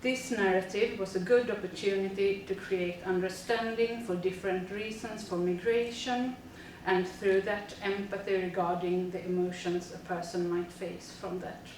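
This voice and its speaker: A woman's voice: medium pitch, fairly even intonation, normal speed, few pauses, darkish tone, very echoey, far from the mic, slight background noise, rough recording. A woman, a teacher reading a prepared presentation steadily with frequent fillers. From Sweden. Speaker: teacher presenting a school project.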